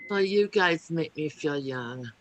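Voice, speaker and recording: A woman's voice: sits low, lively intonation, unhurried, highs cut off, slight background noise. A woman in her fifties in a casual group chat, talking in a chatty, self-deprecating way. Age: 50s.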